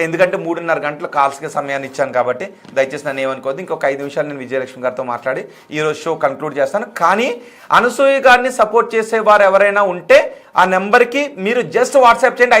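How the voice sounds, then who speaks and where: A man's voice: fairly high pitch, very fast, a bit bright. A man hosting a live call-in debate, addressing viewers insistently, repeating his appeals and mixing in English words. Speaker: live TV news debate host.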